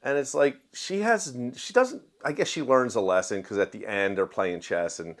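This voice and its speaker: Male book reviewer, somewhat low register, chatty and opinionated, emphatic delivery, plain everyday talk. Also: moderate pace. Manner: conversational, acts out quoted dialogue in everyday voice. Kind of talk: casual opinionated review to viewers.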